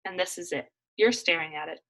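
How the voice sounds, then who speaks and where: A woman's voice: lowish voice, a little fast, fairly bright tone, poor audio. Woman reading as Hank, blunt earnest man, emphatic and folksy. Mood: earnest, urging.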